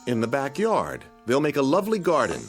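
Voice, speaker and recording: An actor playing a mild, penny-pinching husband in a radio drama, genteel, fussy and wordy, often musing to himself. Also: male voice, medium pitch, wildly swooping pitch, a little fast, faint music behind. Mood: cheerfully hopeful. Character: mild, penny-pinching husband, eager about free bargains.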